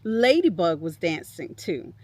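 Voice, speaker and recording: Donna espressiva, lenta, che racconta ai bambini. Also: female voice, on the low side, sing-song, a little mellow. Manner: encouraging, deliberate, addresses young listeners. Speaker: children's storytime host. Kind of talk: expressive read-aloud for preschoolers.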